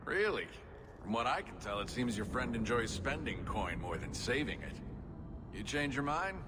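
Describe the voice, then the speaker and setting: A man's voice: mid-range voice, sing-song, moderate pace, lightly acted. A man voicing a wry, persuasive dwarf rogue deal-maker in a video game. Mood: coolly teasing. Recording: reverberant hall, noticeable ambient noise.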